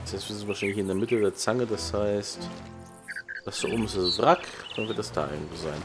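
A man with a fairly low voice gives casual commentary as he plays a video game. He talks half to himself and reads prompts aloud, while the game's music plays clearly behind him. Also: fairly quick. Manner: muttering to himself, reading out on-screen prompts. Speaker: let's play host. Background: game music and island sounds.

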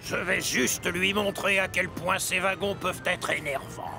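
A man's voice: high-pitched, steady tone, normal speed, creaky, over music. A man voicing a mean, boastful, scheming male diesel locomotive in a cartoon, sneering and bossy. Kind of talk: cartoon dub acting.

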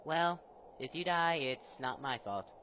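A man's voice: a little high, lively intonation, slow pace. Guy doing a chill creepy kid spirit, bit hissy recording. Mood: calm. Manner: mild, puzzled. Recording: background hiss.

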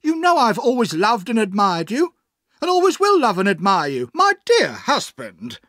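A man's voice: high-pitched, huge pitch swings, normal speed, a bit bright, dry recording, clean studio sound, pitched above the performer's own voice, full character voice. A man voicing a devoted, dramatic wife in an audiobook, impassioned and melodramatic. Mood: impassioned, reproachful. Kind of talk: audiobook character acting.

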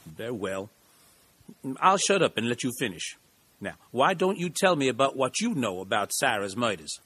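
A man performs a middle-aged small-town lawyer for an audio drama. The voice is husky and swings widely in pitch. He is genial and eccentric, taking his time like a folksy storyteller. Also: very animated, sharp tone, heavily acted. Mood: measured. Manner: folksy, rambling, deliberate storyteller.

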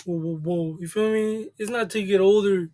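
High-voiced man in laid-back street slang. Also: steady tone, fairly quick, warm tone. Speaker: reaction channel host. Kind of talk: casual reaction commentary. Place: small indoor room, seated at a desk.

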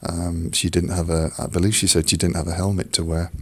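Croaky, deep-voiced male podcast host, hesitant and full of fillers, close dry sound. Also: little pitch movement, no room sound. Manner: halting, many fillers and restarts. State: hoarse, croaky from a cold. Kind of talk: casual solo podcast talk.

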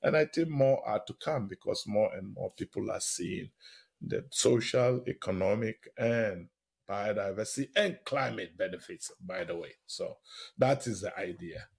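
A man with a husky voice talks as an expert guest on a radio interview. His pitch swings widely as he explains his points with emphasis and open enthusiasm. He often punctuates them with small rhetorical questions. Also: normal speed. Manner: animated, emphatic, with short rhetorical tag questions.

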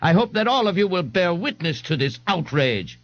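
A man's voice: high voice, sing-song, moderate pace, fairly bright tone, dull audio, pitched above the performer's own voice, exaggerated voice. A man voicing a pompous, haughty thirty-year-old man who talks in grand, condescending phrases.

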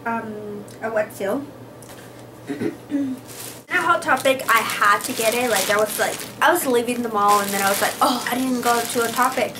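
A woman's voice: fairly high pitch, background noise. A young woman from Utah chatting casually on a vlog, full of "like" and "um", filmed on a handheld camera in her car and then in her bedroom. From Utah, USA. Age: young adult. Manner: chatty, lots of "like" and "um", excitable. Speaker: vlogger. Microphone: handheld camera at arm's length.

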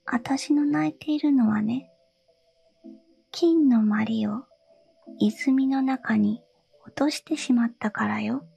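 A woman voicing a tearful, pleading young princess in a soft whisper for a storybook reading. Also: a little high, takes it slowly, gravelly, long pauses, husky, full character voice.

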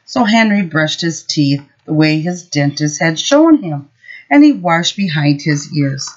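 A middle-aged woman teacher explaining simple words and reading a story aloud to young children, instructive and expressive. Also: low voice, a little slow. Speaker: preschool teacher. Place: small room at home. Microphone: built-in webcam or laptop mic. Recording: dull, lo-fi webcam audio.

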